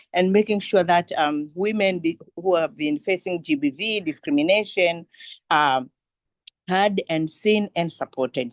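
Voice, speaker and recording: A woman tells her story in a lowish, steady voice. She speaks earnestly and often restarts her sentences. The recording is dry and close-miked. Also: no echo. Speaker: ambassador for women's organization. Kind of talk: personal story on a live panel.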